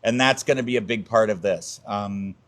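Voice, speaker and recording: A man's voice: on the low side, normal speed. Older man chatting in an interview. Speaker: community theatre actor, interview guest. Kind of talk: casual interview chat.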